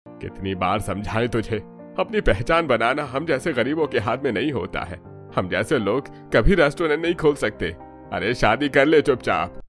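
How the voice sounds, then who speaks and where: A man's voice: medium pitch, expressive, normal speed, close to an everyday voice. A man acts out a stern middle-aged father from a poor household in a cartoon. He scolds and insists with a weary, resigned worry, over prominent background music. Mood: scolding.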